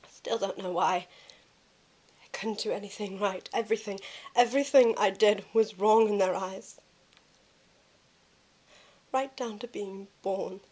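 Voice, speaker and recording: A woman's voice: medium pitch, fairly even intonation, measured pace, pauses often, a little mellow, close to an everyday voice. A woman playing an emotional, wounded young woman in an audio drama, earnest and dramatic in delivery. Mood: hurt.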